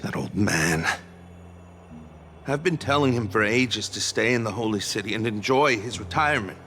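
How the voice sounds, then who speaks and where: An actor playing a busy, irritable city worker in a game, talking in a brusque, grumbling manner. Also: male voice, fairly low pitch, lively intonation, moderate pace, pushed and bright, a little hiss or hum. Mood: bitter, shaken. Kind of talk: dramatic game voice acting.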